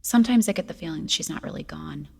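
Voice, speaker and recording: Woman playing a pensive young noblewoman, low husky voice. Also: lively intonation, fast, heavily acted. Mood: brooding. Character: troubled, inquisitive young noblewoman, grieving daughter. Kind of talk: audio drama acting.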